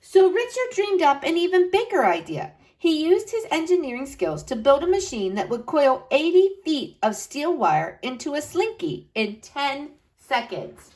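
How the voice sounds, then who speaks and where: A woman reading a picture book aloud to children, with slow dramatic emphasis. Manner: expressive, slow dramatic emphasis with wide pitch swings.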